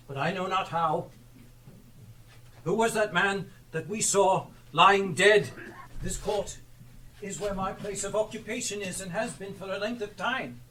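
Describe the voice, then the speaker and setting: An actor playing a frightened, repentant old miser on stage, with a halting, pleading delivery. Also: male voice, sits high, bright, forceful tone, slight background noise. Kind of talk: theatrical live stage acting. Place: theatre stage. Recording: distant live stage recording.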